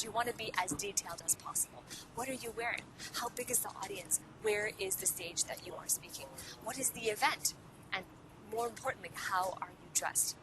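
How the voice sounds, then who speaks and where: A woman's voice: medium pitch, background noise. A woman from Hong Kong whose first language is Cantonese, teaching public speaking in an earnest motivational video lesson and asking the viewer many rhetorical questions. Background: background music.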